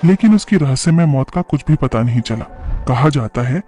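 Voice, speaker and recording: A man's voice: medium pitch, lively intonation, moderate pace, warm tone, soft background music. A man narrating a scripted horror story.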